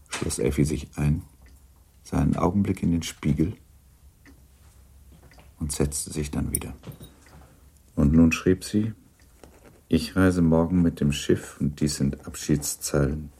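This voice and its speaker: An actor as the sober, restrained narrator of a period novel in a radio drama, measured, grave and understated. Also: male voice, very deep voice, creaky, mellow, slightly rough, heavily acted.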